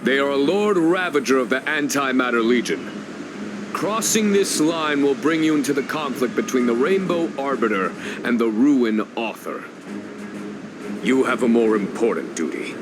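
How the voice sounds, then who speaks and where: An actor playing a male general who gives firm, measured orders to his troops. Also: medium pitch, lively intonation, background noise, natural voice.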